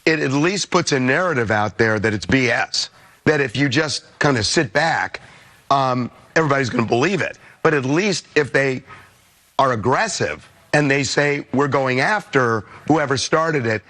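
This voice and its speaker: A man's voice: medium pitch, normal speed, sharp tone. A man hosting a talk show, chatting in an opinionated, conversational way while losing his voice. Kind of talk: casual newsroom chat.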